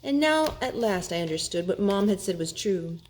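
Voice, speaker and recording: A woman hosting storytime for children, reading in an expressive storybook style. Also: mid-range voice, moderate pace, slight background noise. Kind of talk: expressive rhyming storybook narration. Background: music.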